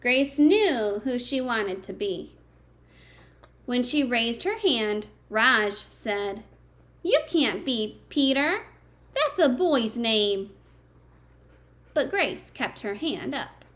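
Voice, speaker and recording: A woman's voice: a little high, sing-song, extremely slow, long pauses, a bit bright. A woman reading a picture book aloud to young children like a teacher, expressively, with simple chatty asides and questions to her listeners.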